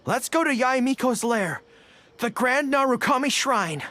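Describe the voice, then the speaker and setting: A woman voicing an earnest, excitable young male dog-eared general in a video game, stammering when flustered. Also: sits high, measured pace. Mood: earnest, determined. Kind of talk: video game character dialogue. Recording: game music behind the voice.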